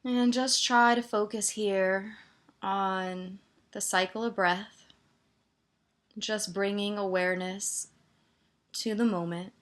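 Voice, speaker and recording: A woman meditation teacher gently guiding a body scan, soothing and leaving pauses between her instructions. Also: mid-range voice, steady tone.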